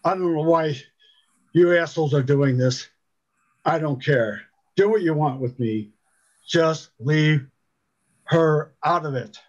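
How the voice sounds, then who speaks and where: A man's voice: a little high, slow, pauses often, dull audio. A man reading the part of a chained, wounded captive in a story, strained, pleading and defiant. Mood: pleading defiance.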